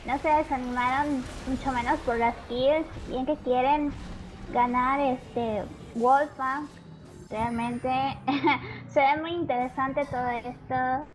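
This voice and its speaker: A woman's voice: high-pitched, low-quality recording. A Mexican woman with a Mexican Spanish accent, co-hosting a live stream and reading the chat in a giggly, playful way. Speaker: co-host.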